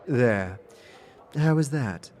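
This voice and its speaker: A man's voice: mid-range voice, animated, drawn-out words, darkish tone. A man doing a silky, flirtatious sorceress. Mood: teasing. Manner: silky, teasing, formal old-fashioned speech. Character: elegant, flirtatious female sorceress. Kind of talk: fan audio drama acting.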